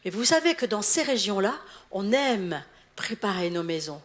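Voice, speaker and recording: A woman's voice: medium pitch, sing-song, moderate pace. A middle-aged woman from Alsace, a visiting church official, preaching a sermon in a chatty way and laughing at her own slips. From Alsace, eastern France. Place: church hall.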